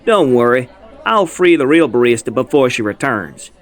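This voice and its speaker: A man playing a sly, flattering shapeshifting villain in a comic fan dub, speaking with smooth, drawn-out praise. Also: medium pitch, natural voice. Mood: sly, gloating.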